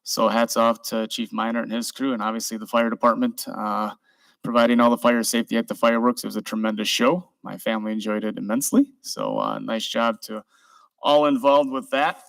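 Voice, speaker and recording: A man's voice: lowish voice, fairly quick, gravelly, fairly bright tone, no room sound, low-quality recording. A man chairing a city council meeting, speaking informally and giving thanks to city staff. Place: council chamber. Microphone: desk microphone.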